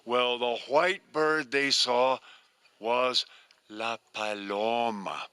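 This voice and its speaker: An older man telling a story live to an audience, slowly and expressively in drawn-out phrases. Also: medium pitch, fairly even intonation, pushed and bright, clear, resonant tone.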